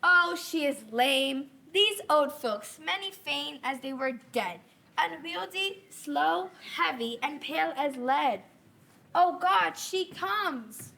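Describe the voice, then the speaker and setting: A woman's voice: high-pitched, lively intonation, slow, gravelly, bright, forceful tone, exaggerated voice. A woman acting an impatient, lovesick teenage girl, eager and coaxing, in theatrical Shakespearean style in an echoey room. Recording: echoey room with background noise.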